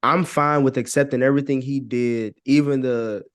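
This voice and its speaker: Casual American male podcast voice, mid-pitched, loose and rambling, swears freely and circles back on phrases. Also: fairly even intonation, normal speed, clear, resonant tone. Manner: rambling, repeats phrases, frequent "you know what I'm saying", profane. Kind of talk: casual conversational podcast opinion.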